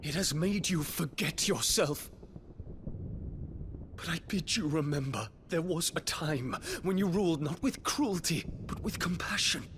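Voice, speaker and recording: A man's voice: a little high, a little slow, a bit bright, a little hiss or hum. An actor playing a grave, noble young prince in a video game, speaking formally and solemnly as he confronts his emperor father. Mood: impassioned pleading. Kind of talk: dramatic video game voice acting.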